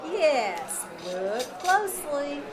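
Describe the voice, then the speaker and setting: A woman playing a wry, quick-witted English teacher in an old-time radio comedy, with dry, sardonic delivery. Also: high-pitched, big pitch swings, crowd noise, heavily acted. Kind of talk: old-time radio comedy acting before a live audience.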